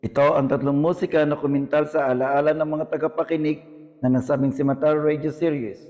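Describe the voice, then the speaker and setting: A man with a Filipino accent reads a scripted educational narration in a formal, deliberate manner. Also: fairly high pitch, flat delivery, darkish tone. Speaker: video narrator.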